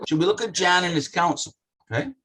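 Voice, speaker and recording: A man with a rough voice talking passionately and bluntly in a casual business discussion, swearing often. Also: sits high, fast talker, sharp tone.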